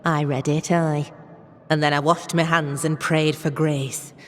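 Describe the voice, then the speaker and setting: A woman's voice: deep voice, level tone, measured pace. An actress playing a pious, hostile colonial townswoman in a video game, stern and accusatory. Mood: scornful. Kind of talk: dramatic video game acting. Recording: long reverberant hall echo.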